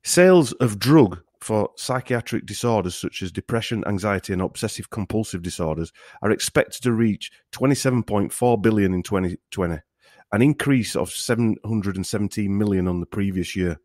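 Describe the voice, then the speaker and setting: A man's voice: fairly low pitch, moderate pace, darkish tone, dry recording, clean studio sound. A man hosting a podcast in a casual discussion style. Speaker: podcast host.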